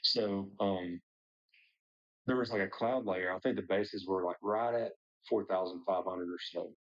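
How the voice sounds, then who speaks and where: A man's voice: sits low, fairly even intonation, a little slow, muffled recording. A man talking casually as a pilot sharing experience, in loose, hesitant phrasing sprinkled with "like". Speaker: pilot, discussion participant. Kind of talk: casual personal storytelling.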